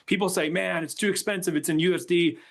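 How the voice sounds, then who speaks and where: Fast-talking enthusiastic man from Canada. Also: high-pitched, steady tone, creaky, fairly bright tone. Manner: enthusiastic, quick and chatty. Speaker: workshop co-host. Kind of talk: live webinar sales pitch.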